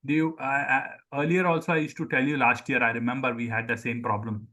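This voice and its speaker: A man's voice: mid-range voice, fairly even intonation, quick pace, bright, forceful tone. A man, probably middle-aged, with an Indian accent and Sindhi as his mother tongue, teaching a language class online, repeating words and questioning pupils. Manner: repeats words for pupils, calls on them by name. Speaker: Hindi teacher. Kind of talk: live online group lesson, questioning pupils and explaining.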